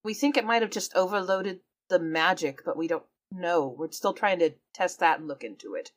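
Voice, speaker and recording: A woman's voice: fairly low pitch, moderate pace. Woman player in a tabletop game. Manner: describes her character's actions and talks in character. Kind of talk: tabletop roleplay, in character and out.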